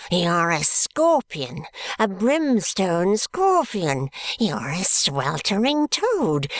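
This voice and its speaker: A woman voicing a feeble, spiteful old miser in an audiobook, shrill and wheezing. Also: no echo. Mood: furious. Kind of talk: audiobook reading.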